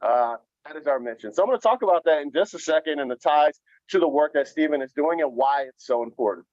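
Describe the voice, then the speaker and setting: A man giving a conference keynote with a loud, energetic voice, joking and inviting the audience to take part. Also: on the high side, quick pace, no room sound, lo-fi sound. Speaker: keynote speaker, nonprofit founder.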